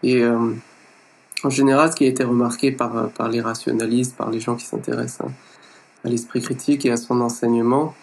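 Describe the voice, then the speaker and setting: A man hosting an interview and asking his question in measured, hesitant phrases. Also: medium pitch, level tone, vocal fry, rough recording. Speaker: podcast host, interviewer.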